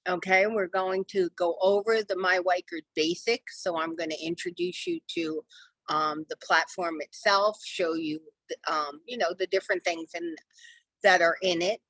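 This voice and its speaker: A middle-aged woman with a slightly hoarse voice, running a live online training class and talking in a chatty, reassuring way with many ums. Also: lowish voice, normal speed, a bit bright, no echo, poor audio. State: losing her voice. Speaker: real estate software trainer. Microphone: video call.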